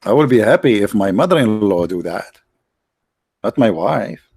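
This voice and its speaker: A man's voice: on the low side, animated, moderate pace, vocal fry, a little mellow, husky, no echo, studio quality. A man hosting a livestream, talking casually and sarcastically, with many restarts and fillers. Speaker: livestream host.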